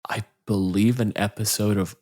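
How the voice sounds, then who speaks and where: A man's voice: lowish voice, steady tone, moderate pace, darkish tone. A man co-hosting a podcast in casual discussion, with a blunt, wry and sweary way of talking. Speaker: podcast co-host.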